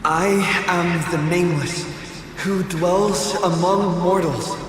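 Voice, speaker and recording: A man's voice: high-pitched, fairly even intonation, slow pace, background noise. An actor playing a solemn heroic young man in a video game, speaking to mortals in a grandiose, declamatory way. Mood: solemn, grand. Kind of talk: dramatic game voice acting. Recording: cavernous reverb with loud music behind.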